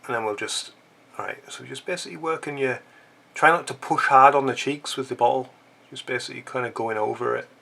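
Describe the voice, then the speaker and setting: Man, stuffy nose, husky rasp, chatty joking tutorial host. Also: medium pitch, a little fast, sharp tone. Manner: chatty, joking asides while working. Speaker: fly-tying channel host. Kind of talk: casual tutorial chat.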